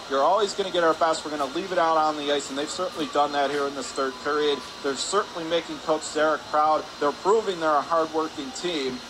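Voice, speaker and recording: A man calling live radio hockey play-by-play in clipped phrases from an ice rink. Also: a little high. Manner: clipped rapid phrases, rising on shots. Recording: clear background noise, arena ambience.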